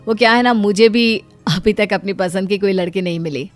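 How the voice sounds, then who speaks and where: A woman's voice: medium pitch, brisk, faint music behind, natural voice. A woman voicing a blunt, money-minded man in his mid-thirties, a formal and condescending suitor in a moral story. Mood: polite, probing. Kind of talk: moral story cartoon dialogue.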